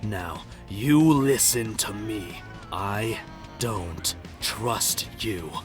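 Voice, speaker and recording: A man's voice: on the low side, very slow, over music. An actor playing a bitter, unhinged widowed middle-aged father, sardonic and menacing, in a dramatic comic dub. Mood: furious, desperate.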